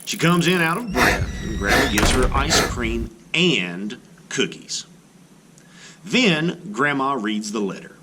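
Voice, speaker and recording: Animated man reading a storybook aloud. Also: mid-range voice, slow, heavy background noise. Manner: expressive, animated delivery. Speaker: art teacher reading a children's book aloud. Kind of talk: storybook read-aloud narration.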